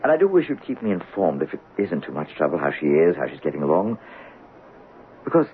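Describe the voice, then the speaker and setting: A low, hesitant male writer, whose voice rises and falls widely as he stammers politely. It sounds like an old radio play, thin as a phone line with a faint hiss behind it. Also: big pitch swings, fairly quick. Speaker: Robin Graves, a writer. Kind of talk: 1970s radio drama acting.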